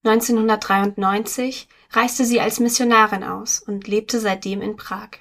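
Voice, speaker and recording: A woman reading a magazine article aloud in a steady, measured scripted narration on a close studio mic. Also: fairly high pitch. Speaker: article reader.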